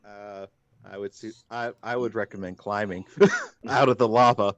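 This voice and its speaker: D&d player guy, careful steady explaining, dry mic with keyboard typing. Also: fairly low pitch, normal speed, dry recording, lo-fi sound. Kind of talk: casual tabletop game chat.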